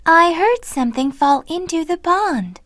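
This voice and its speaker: A woman doing a soft-spoken water sprite. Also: very high-pitched, lively intonation, measured pace, dry recording, studio quality, pitched above the performer's own voice, exaggerated voice. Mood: gentle. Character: kind female water sprite, gentle and playful.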